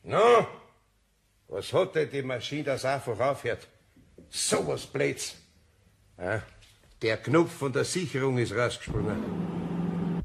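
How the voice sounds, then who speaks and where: An actor playing a flustered, sceptical wood-turner in a German radio play, blustering and muttering in a gravelly voice with a Bavarian accent. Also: male voice, mid-range voice, expressive, slow, pauses often, slight background noise. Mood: exasperated, muttering. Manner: blustering, exclaiming, muttering to himself.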